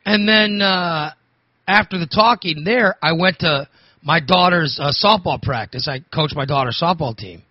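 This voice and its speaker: A man hosting a talk radio show, hoarse from overusing his voice, rambling and restarting his sentences. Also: fairly high pitch, a little slow, no echo, dull audio. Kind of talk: casual talk radio monologue.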